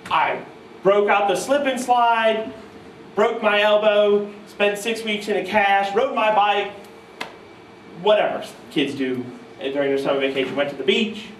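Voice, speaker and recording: A man in his thirties from New York state, telling animated, self-mocking stories to a live audience at a guitar clinic. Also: high voice, measured pace, slight background noise. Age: 30s. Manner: animated, self-mocking, mimics voices in quotes. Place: music store clinic room, audience present. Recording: roomy live sound from far off.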